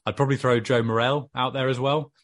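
A Welsh man chatting casually about his football club on a podcast, measured and full of fillers. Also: medium pitch, fairly even intonation. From Wales.

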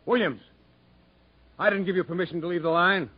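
An actor playing a stern, blunt prison camp captain in a 1950s radio drama, commanding and clipped. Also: male voice, high-pitched, a little fast, breathy edge. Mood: brisk, wry. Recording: dull 1950s radio broadcast recording.

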